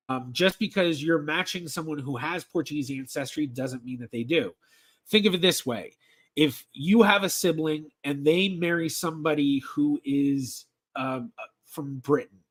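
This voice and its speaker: A man's voice: fairly high pitch, a bit bright. Chatty man answering genealogy questions. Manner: halting, many ums and restarts, reads questions aloud. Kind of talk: casual livestream Q&A.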